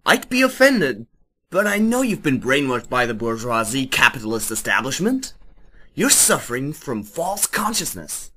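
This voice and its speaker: A performer as a husky, zealous teenage schoolboy. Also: medium pitch, sing-song, moderate pace, sharp tone, heavily acted. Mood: smug, earnest. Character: zealous, self-righteous teenage schoolboy, indignant communist convert. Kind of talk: amateur comedic dramatic reading.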